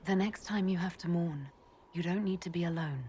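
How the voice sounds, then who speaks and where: Actress as supportive female elven leader for a game: calm, fairly low, reassuring, slight ambience behind. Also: normal speed, slight background noise, natural voice. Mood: gentle, supportive. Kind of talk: video game cutscene voice acting.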